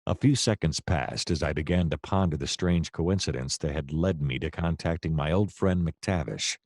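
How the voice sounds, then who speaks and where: Man playing a deep-voiced, deadpan noir private eye. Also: normal speed, dry recording, clean studio sound. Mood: deadpan narrating. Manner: deadpan, wry storytelling. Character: hard-boiled, hapless male private detective narrating his case. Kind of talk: noir radio-play narration and dialogue.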